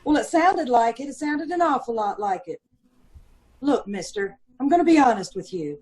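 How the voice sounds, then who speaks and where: Woman acting a high-voiced, brusque apartment manager. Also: expressive, moderate pace, a little mellow, lightly acted, rough recording. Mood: defensive. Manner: colloquial, blunt working-class speech. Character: brusque, defensive apartment manager woman, mother of a fussy baby. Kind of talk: old-time radio drama acting.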